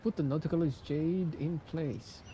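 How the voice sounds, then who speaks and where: A man's voice: fairly high pitch, measured pace, background noise. A man live-streaming a game, making casual commentary in terse, playful fragments to himself. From Indonesia. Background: loud game music.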